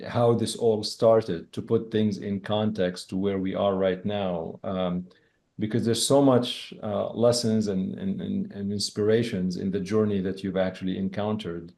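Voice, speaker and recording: A man hosts an interview, his voice mellow and fairly low. He builds his questions haltingly, circling back and restarting before he gets to the point. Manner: halting, many fillers and restarts while framing questions. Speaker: podcast host, interviewer.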